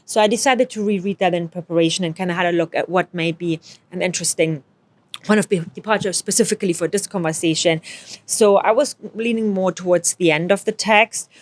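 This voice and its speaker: Low-voiced woman moderating a panel. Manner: measured, reads a quotation, prompts panelists. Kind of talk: panel discussion.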